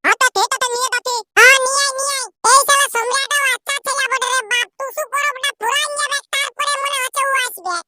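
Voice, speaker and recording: A performer voicing a brash, slangy young village lad in a comedy cartoon, with a Purulia accent and a squeaky pitched-up voice. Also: flat delivery, fast talker, few pauses, noisy background. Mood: brash, bantering. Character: rowdy young village lads squabbling and teasing, cartoon. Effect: pitched-up voice filter. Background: loud music.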